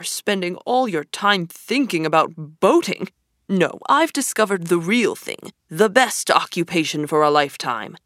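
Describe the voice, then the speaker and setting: A woman voicing a boastful, excitable male toad in a storybook reading, gushing and showy. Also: on the low side, dry recording, clean studio sound.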